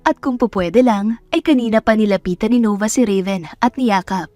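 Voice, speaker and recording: A woman's voice: mid-range voice, moderate pace, a little mellow, no room sound, soft background music. A woman with a Filipino accent narrating a romance story as a dramatic scripted storyteller.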